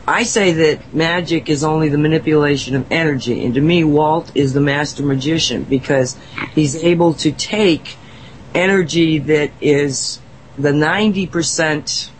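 A woman's voice: sits low, a little hiss or hum, low-quality recording. A woman on a talk show who speaks enthusiastically and effusively, laughing and restarting her sentences. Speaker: guest. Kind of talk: casual talk-show chat.

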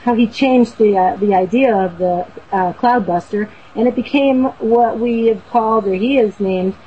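A woman's voice: mid-range voice, normal speed, slight background noise. Woman guest from Boston, earnest rambler, muffled lo-fi line. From Boston, USA. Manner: warm, earnest, rambling with fillers and restarts. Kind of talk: casual storytelling on a talk show.

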